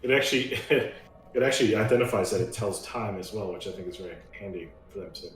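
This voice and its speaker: A man with a fairly low voice talks casually as a tabletop game player. He sounds like he is in an echoey room, with faint music behind. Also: quick pace.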